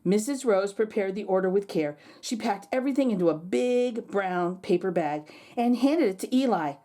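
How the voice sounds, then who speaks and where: A woman reading a children's storybook aloud, expressively and dramatically, with drawn-out words. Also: medium pitch, lively intonation, warm tone. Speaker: children's read-aloud host. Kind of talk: expressive storybook narration for kids.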